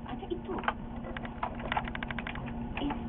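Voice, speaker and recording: A woman playing an earnest, inquisitive friend with an emphatic, probing delivery in a radio drama. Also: very slow. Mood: shocked. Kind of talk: radio drama acting in Tagalog. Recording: noisy, narrow-band, distant behind-the-scenes camera audio.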